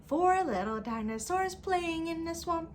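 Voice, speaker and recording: A woman's voice: very high voice, lively intonation, unhurried, a little hiss or hum. A woman leading an interactive storytime lesson for young children, speaking in an exaggerated sing-song way with prompting questions and repetition.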